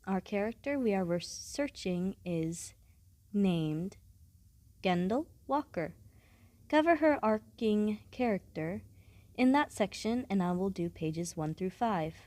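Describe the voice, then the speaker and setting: A blunt, aloof schoolgirl type, acted by a woman for an audio roleplay. Her voice is soft-edged and dark, delivered deadpan in formal, matter-of-fact phrases. The recording is close and very dry. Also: mid-range voice, takes it slowly, no echo. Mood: blunt, cool. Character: blunt, aloof, studious female student, coolly matter-of-fact. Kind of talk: scripted audio roleplay addressed to the listener.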